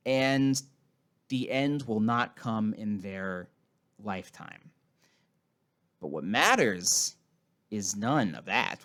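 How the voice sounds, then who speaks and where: A man's voice: mid-range voice, fairly bright tone. A man giving casual, mocking commentary on a film in a sarcastic, irreverent way. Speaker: video host and commentator.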